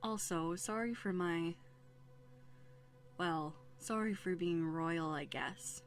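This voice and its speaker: A woman playing a young runaway princess in a roleplay audio drama, bookish and quietly bitter, talking earnestly and hesitantly into a close microphone. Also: lowish voice, takes it slowly, soft background music. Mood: apologetic, grateful. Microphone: close USB condenser microphone.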